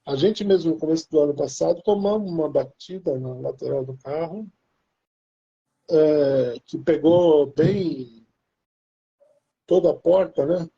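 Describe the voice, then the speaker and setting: A man's voice: fairly high pitch, a little slow, creaky, pauses often, dark tone, husky, lo-fi sound. A Brazilian man with a Brazilian Portuguese accent, chatting casually in a rambling way with many restarts. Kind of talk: casual chat.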